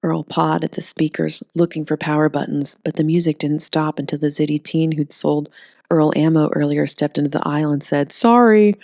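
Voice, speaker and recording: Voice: mid-range voice, completely flat delivery, fairly quick, gravelly, darkish tone, no room sound, studio quality. An audiobook narrator reading a scripted story.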